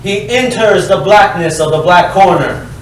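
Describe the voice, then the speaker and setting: A man reading stage directions as scripted narration, deliberate and dry in delivery. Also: sits high. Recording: very echoey hall, distant mic, faint noise.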